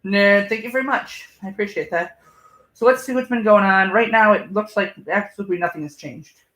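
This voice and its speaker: A woman's voice: medium pitch, brisk, a bit bright, poor audio. A woman hosting a live stream with casual commentary, speaking in a polite, apologetic way.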